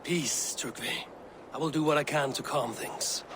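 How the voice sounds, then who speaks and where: A man playing a resolute Viking warrior in a video game cutscene, speaking in a measured, commanding way. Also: on the high side, background noise, close to an everyday voice. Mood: calm, concerned. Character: resolute Viking warrior, stern adult male peacemaker.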